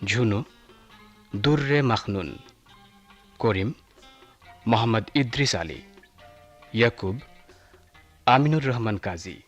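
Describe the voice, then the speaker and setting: Male radio announcer: formal scripted credits, unhurried and slightly low, music underneath. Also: expressive, highs cut off. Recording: music behind the voice.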